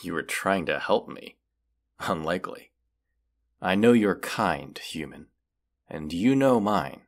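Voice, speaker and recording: A man voicing a proud, menacing wounded dragon in a fantasy audio roleplay, speaking in a haughty, deliberate, archaic way. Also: deep voice, animated, exaggerated voice. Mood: scornful.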